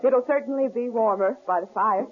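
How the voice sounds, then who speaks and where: An actress playing a nervous young wife in an old radio drama, talking in anxious, hesitant bursts. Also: female voice, a little high, fairly quick, lightly acted. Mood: wary. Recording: tinny old radio broadcast, faint hiss.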